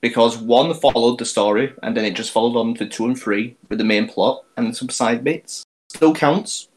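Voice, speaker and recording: A man's voice: mid-range voice, normal speed, low-quality recording. A man hosting a casual podcast, chatty and laughing, leading the topic with plenty of fillers.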